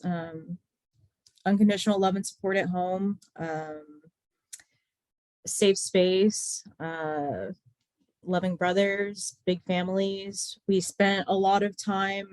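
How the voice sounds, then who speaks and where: A woman in her twenties from Texas, a nurse and patient advocate calling in to a podcast interview, who talks in a flat, monotone way with lots of "like" and "um". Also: mid-range voice, dry recording. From Texas, USA. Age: 20s. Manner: self-described monotone, flat delivery; loose, chatty, many "like" and "um" fillers. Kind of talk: casual interview chat. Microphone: remote call-in.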